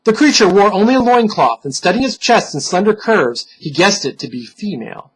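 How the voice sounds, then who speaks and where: A man giving a dramatic, solemn reading of a dark fantasy story, theatrical and deliberate, with pauses for effect and a husky voice. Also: high voice, big pitch swings, poor audio. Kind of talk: dramatic scripted narration.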